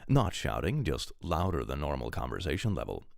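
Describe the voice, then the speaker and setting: A young man streaming live with a powerful voice, reading posts aloud and chatting casually, often jumping into excited exclamations. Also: a little fast, gravelly, dry recording, studio quality. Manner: animated, jumps into high excited exclamations.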